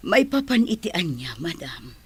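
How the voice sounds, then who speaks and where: A woman playing a deferential, worried household maid with a breathy voice in an audio drama. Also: lowish voice, very animated, moderate pace, exaggerated voice.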